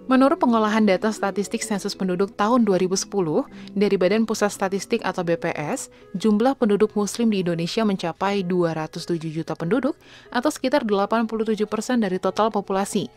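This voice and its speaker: A woman's voice: medium pitch, a little mellow. Friendly woman narrating an explainer. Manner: friendly, speaks directly to the viewer with questions.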